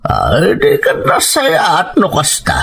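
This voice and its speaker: Radio drama casting: actor as meddling gossip of an uncle, highish husky voice, insinuating, stretches his interjections. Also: wildly swooping pitch, takes it slowly, fairly bright tone, full character voice. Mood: gossipy, sly. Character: nosy, gossiping uncle who sows doubt. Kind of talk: Ilocano radio drama acting.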